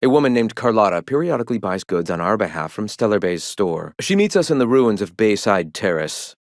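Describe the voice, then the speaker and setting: An actor playing a zealous, scholarly leader of a philosophical rebel movement in a video game, speaking in a lofty, formal, preachy way. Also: male voice, fairly low pitch, big pitch swings, fairly quick, no room sound, studio quality. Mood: calm, instructing. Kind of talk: video game character dialogue.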